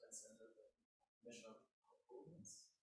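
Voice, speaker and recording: A man from Germany introducing himself at a workshop, hesitant with fillers. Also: slow. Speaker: workshop participant. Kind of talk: casual self-introduction. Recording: echoey room, distant mic, hiss.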